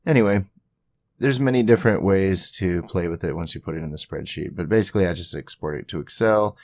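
A man hosting an informal screen-recording software tutorial, rambling a little as he thinks aloud. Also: low voice, fairly quick, no echo. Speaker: tutorial host.

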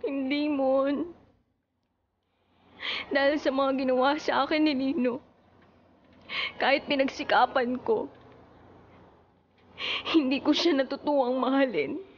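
A grieving young widow; high, slow, emotional, dull radio sound. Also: female voice, fairly even intonation. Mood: sorrowful. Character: long-suffering young wife, then widow. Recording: muffled radio drama with faint hiss.

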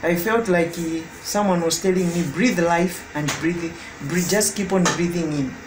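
A young Zambian woman giving a halting personal testimony over a video call from her living room. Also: on the low side. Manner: halting, searching for words. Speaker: prayer testimony giver. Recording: echoey room with background noise.

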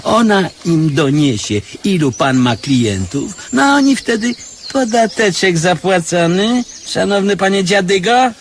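An actor playing a shrewd, condescending scholar fallen among beggars, with mock-learned speech, in a radio comedy drama. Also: male voice, sits high, sing-song, measured pace, a little hiss or hum, exaggerated voice. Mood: conspiratorial. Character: shrewd male scholar-beggar, condescending, conspiratorial know-it-all.

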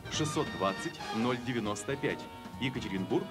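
A man's voice: medium pitch, animated, normal speed. A man reading scripted promotional narration for cartoon trailers in an enthusiastic, dramatic announcer style. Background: music.

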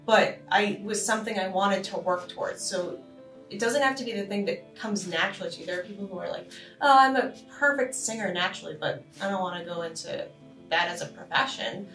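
A woman's voice: mid-range voice, brisk. A woman from Boston, co-hosting a casual podcast panel and telling stories with lots of laughter. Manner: animated, laughing storyteller. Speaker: podcast co-host. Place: conference room around a table. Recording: roomy, distant with faint music.

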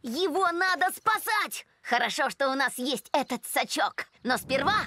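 A woman's voice: sits high, expressive, unhurried. A woman voicing a young girl animal in a children's cartoon, a sensible, cautious little leader speaking earnestly and urgently. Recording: loud music behind.

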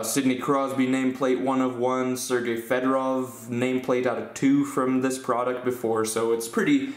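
A man at a table casually hosts his card-opening channel, talking in a mid-pitched, easygoing way. He drifts from plain chatter into excited bursts over good pulls. His voice bounces around a roomy, echoing space. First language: English. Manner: chatty, upbeat, with fillers and excited exclamations. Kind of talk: casual hobby commentary. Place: indoor room at a tabletop.

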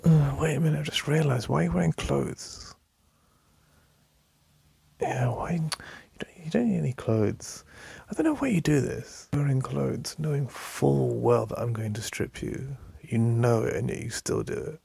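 A man with an English accent playing an affectionate, teasing boyfriend in an intimate ASMR roleplay, murmuring in a deep, breathy voice. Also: lively intonation, warm tone, no echo, heavily acted. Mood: playful, teasing. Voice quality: deep, breathy, husky, gravelly. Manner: slow, murmuring, with sighs and lingering pauses.

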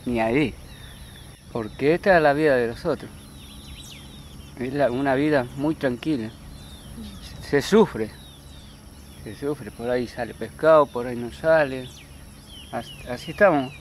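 A man with an Argentine river-island accent, a fisherman, talking casually and haltingly, repeating his phrases. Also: mid-range voice. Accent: Argentine, Paraná river islands. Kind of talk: casual recollection. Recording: faintly noisy outdoor field recording.

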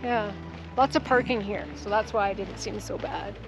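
A woman vlogging outdoors on a trip, chatting casually and excitedly with little laughs between phrases. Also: medium pitch, moderate pace, music in the background, low-quality recording. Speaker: travel vlogger. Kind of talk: casual vlog chat. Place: outdoors at a national park.

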